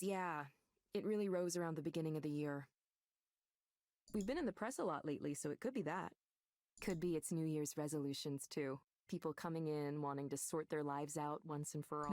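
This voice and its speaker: A woman's voice: deep voice, a little mellow, no echo, studio quality. An actress playing a matter-of-fact, easygoing woman who supervises counselors in a visual novel game.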